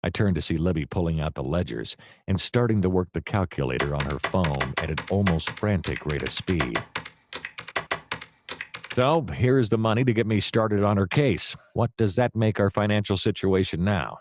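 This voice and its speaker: An actor playing a wry, deadpan private detective who narrates a noir comedy radio play in wordy style. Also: male voice, low-pitched, moderate pace, noisy background. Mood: jokey, animated. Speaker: narrator and lead character.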